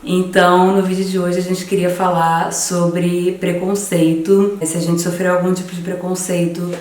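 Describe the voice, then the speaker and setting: A woman's voice: lowish voice, level tone, moderate pace, darkish tone, far from the mic. A Brazilian woman hosting her vlog, chatting casually as she introduces her friends. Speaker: vlog host. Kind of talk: casual chat introducing a video. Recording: echoey room with faint background hum.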